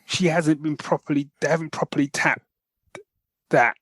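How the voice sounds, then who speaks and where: A man's voice: a little high, fairly even intonation, normal speed, a bit bright, husky, no echo. Casual male podcast co-host, dry close sound. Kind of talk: casual chat about geek news.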